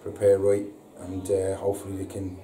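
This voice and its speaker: Man in his thirties, post-match manager, hesitant and repetitive, low even voice, far mic in an echoey noisy room. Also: a crowd in the background. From England. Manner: halting, repeats words, frequent ums. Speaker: football manager. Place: indoor press room before a sponsor board. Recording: echoey room, distant mic, audible hiss.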